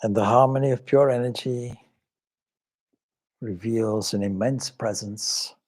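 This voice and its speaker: A man leading a guided meditation as a swami, speaking calmly and reverently in measured short phrases with pauses. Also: mid-range voice. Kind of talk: guided meditation with some Sanskrit chanting.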